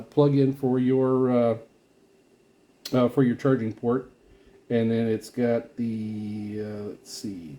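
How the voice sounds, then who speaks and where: A man giving casual, unscripted product commentary as a video host, rambling haltingly and often repeating and restarting his phrases. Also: medium pitch, warm tone. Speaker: video host reviewing radio gear.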